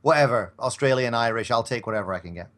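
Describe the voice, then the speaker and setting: A man's voice: medium pitch, fast talker, fairly bright tone. A man with a mid-range voice chats casually as a guest. He rambles a little and banters as he goes. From Scotland. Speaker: podcast guest, audio drama actor. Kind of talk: casual chat.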